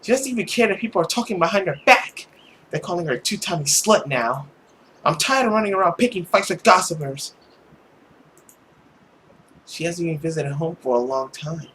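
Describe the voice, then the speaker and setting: A brash teenage girl acted out by an amateur reader from a visual novel, hot-tempered and emphatic. The pitch jumps around in indignation, with faint noise on the recording. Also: expressive, moderate pace, pauses often, fairly bright tone, close to an everyday voice. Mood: indignant. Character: hot-tempered, brash, foul-mouthed sixteen-year-old girl.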